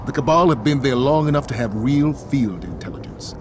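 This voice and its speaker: A man playing a grave military strategist in a video game cutscene, solemn and deliberate. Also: mid-range voice, animated, background noise, close to an everyday voice. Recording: game music and effects behind.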